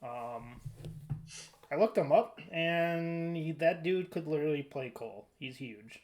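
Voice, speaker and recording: A man's voice: fairly high pitch, expressive, a little slow, a little mellow. A man hosting a casual podcast discussion, conversational and a little hesitant, often trailing off. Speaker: podcast host.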